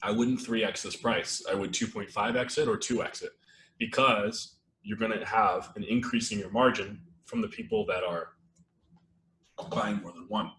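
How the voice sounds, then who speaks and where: Low-voiced man hosting a live webinar. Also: moderate pace. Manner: relaxed, rambling, many fillers and restarts. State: losing his voice, clearing throat. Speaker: webinar host. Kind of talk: casual live webinar talk. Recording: echoey room with faint noise.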